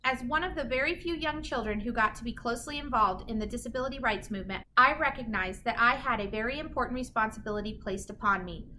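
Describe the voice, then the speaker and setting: A woman with a high-pitched, bright voice reads a children's story aloud. Her delivery is expressive and deliberate, stressing key words for young listeners. Faint noise sits behind her voice. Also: rough recording. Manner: animated, emphatic, deliberate storytelling phrasing. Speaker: children's story reader, teacher. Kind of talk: expressive read-aloud of a children's picture book.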